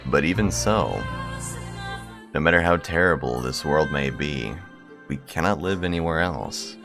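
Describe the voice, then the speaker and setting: Very deep, gravelly-voiced man. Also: lively intonation, a little slow. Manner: blunt, crude, sweary joking. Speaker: gaming video host reading a visual novel aloud. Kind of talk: casual commentary and read-aloud narration of game text. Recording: music playing behind the voice.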